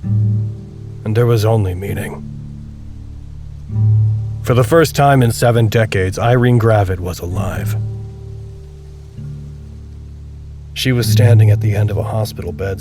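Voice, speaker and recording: A man narrating a literary drama podcast as a solemn, reflective storyteller, speaking in a deliberate way. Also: on the low side, lightly acted. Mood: wistful, solemn, then earnest, reflective. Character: solemn, reflective male storyteller of a bleak tale. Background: music with guitar.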